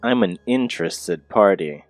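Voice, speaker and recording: Man playing a gravelly, sly, mysterious schemer. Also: low voice, lively intonation, a little slow, dry recording. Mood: smug. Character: sly mysterious time-traveling male schemer. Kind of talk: audio drama acting.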